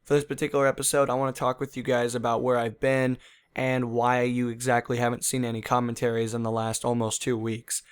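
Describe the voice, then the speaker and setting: A man's voice: medium pitch, level tone, a little fast, clean tone. A young man who hosts a gaming channel and talks casually over gameplay. He rambles in a chatty way, full of fillers.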